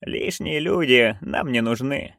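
A reader voicing a stern, unbending old woman witch who speaks low, slow and curt in an audiobook. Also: no echo, studio quality. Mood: cold, calm. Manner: low, quiet, slow and flat. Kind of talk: audiobook character voice.

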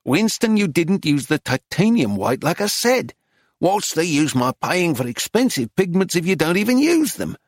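A woman's voice: fairly low pitch, big pitch swings, normal speed, dry recording, studio quality, voice pushed up. A man voicing a bossy, anxious woman art dealer who scolds and presses with demanding questions in a story reading. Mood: scolding, demanding.

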